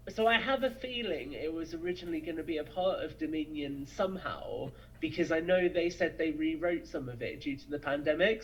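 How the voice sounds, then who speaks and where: A somewhat high-pitched man talks as a podcast guest, casual and enthusiastic. He speculates earnestly and eagerly. The sound is slightly muffled and lo-fi. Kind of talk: casual, enthusiastic fan discussion.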